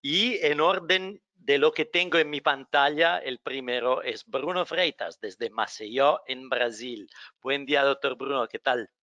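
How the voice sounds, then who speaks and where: A man whose first language is Italian hosts a medical webinar in welcoming, slightly halting Spanish on a video call. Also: medium pitch, bright, forceful tone, no echo, highs cut off. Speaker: webinar host for a medical company.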